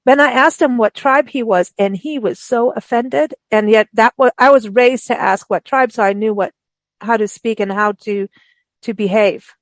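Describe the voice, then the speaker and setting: A woman hosting a radio interview, raised in Africa, who talks in a chatty, animated way with many restarts. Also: a little high, moderate pace, no room sound. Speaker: radio show host. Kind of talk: casual interview chat.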